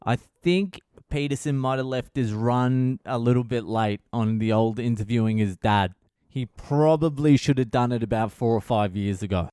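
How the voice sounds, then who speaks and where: A male comedian hosts his own podcast and retells a story in a crude, profane way, laughing as he goes. His voice is smooth and clear. The sound is dry and close. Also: medium pitch, moderate pace, mellow, no echo. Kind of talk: comic storytelling monologue.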